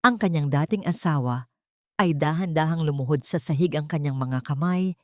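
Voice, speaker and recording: A woman narrating a scripted drama story in a measured, emphatic, theatrical way. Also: low-pitched, dry recording, studio quality.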